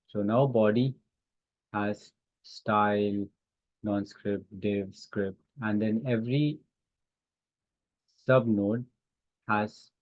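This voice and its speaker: Low-voiced male tech instructor, roomy sound. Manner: halting, thinking aloud while demonstrating. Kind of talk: informal live software lesson. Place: online class call with screen sharing. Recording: roomy, dull lo-fi online-call audio.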